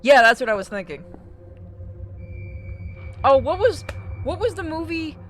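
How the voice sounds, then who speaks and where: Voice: high voice, sing-song, unhurried, a bit bright. A streamer co-hosting a playthrough, chatting casually, swearing freely and reading game narration aloud, with game music behind. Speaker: streamer co-host reading a visual novel aloud.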